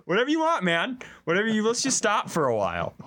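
A man co-hosting a comedy podcast in casual, profane banter, telling things in an animated way. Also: sits high, fast talker.

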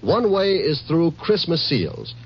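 A man's voice: on the high side, wildly swooping pitch, takes it slowly, husky. A man delivering a scripted 1950s radio charity appeal as announcer, earnest, formal and emphatic with rhetorical phrasing. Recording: muffled vintage radio broadcast.

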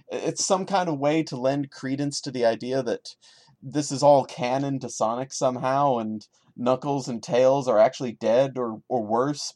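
A man's voice: medium pitch, darkish tone, dry recording, clean studio sound. A man hosting a podcast, reading a horror story dramatically with sarcastic, mocking asides. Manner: theatrical, mocking. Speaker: podcast host reading and commenting.

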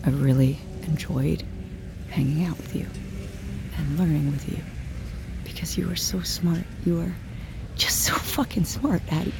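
An actress playing a troubled, self-blaming woman with a tender heart, speaking haltingly in a naturalistic audio drama. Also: deep voice, gravelly, noisy background. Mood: tender, fragile. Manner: halting, faltering, self-correcting.

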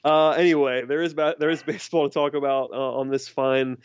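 A man's voice: mid-range voice, little pitch movement, a little fast, clean tone, no echo, muffled recording. A man hosting a casual sports podcast, chatty and wry, often restarting his sentences. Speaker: podcast host.

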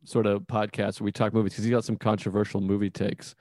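A man's voice: fairly low pitch, flat delivery, quick pace, a little mellow, no room sound, studio quality. An American man from Massachusetts hosting a casual interview podcast, talking in an informal, self-deprecating, rambling way. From Massachusetts, United States.

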